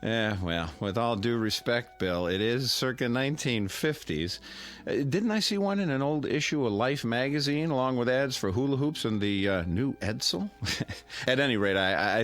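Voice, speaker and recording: An American actor playing a genial, sentimental middle-aged neighbor who makes a halting, teasing after-dinner speech in a radio drama. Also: male voice, mid-range voice, lightly acted. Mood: playful ribbing.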